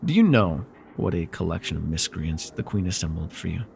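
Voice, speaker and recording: A man's voice: low-pitched, expressive, brisk, vocal fry, a little mellow, a little hiss or hum. A man playing a villainous nightmare lord in an ASMR fantasy roleplay, sly, taunting and flirtatious, with a theatrical, teasing, mockingly sweet manner. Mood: scornful.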